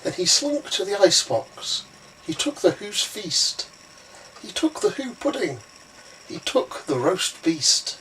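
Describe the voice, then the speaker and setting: Male storybook narrator: theatrical, slow-paced, highly varied pitch, recorded at a slight distance with some noise. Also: a bit bright. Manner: dramatic, theatrical. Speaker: story reader. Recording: roomy, distant, faintly noisy recording.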